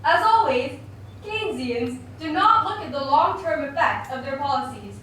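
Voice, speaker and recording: A woman's voice: high-pitched, animated, moderate pace, a bit bright. A woman voicing an opinionated male economist puppet in a scripted mock interview, lecturing emphatically and dismissively. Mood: scornful. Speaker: interview guest. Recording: very echoey room, distant mic, faint noise.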